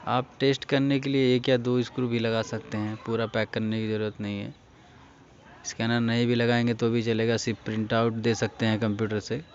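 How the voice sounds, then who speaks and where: Flat-toned Indian man, lo-fi recording. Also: mid-range voice, brisk. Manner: informal, instructional, addressing viewers directly. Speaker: printer repair technician giving a tutorial. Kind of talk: casual hands-on tutorial talk. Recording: dull lo-fi recording with faint noise.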